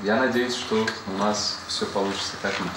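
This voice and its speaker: A man with a fairly low voice, Portuguese by birth, speaks to a class of children in an echoey classroom with plenty of background noise. He explains patiently and keeps asking them questions back. It is a relaxed, unscripted chat. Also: a little fast. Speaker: former student visiting a class. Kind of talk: casual talk with schoolchildren. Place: school classroom.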